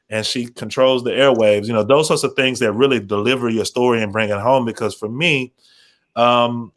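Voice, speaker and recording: A man speaking informally on a panel as a radio program manager, thoughtful and halting, often circling back to rephrase. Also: medium pitch, a bit bright.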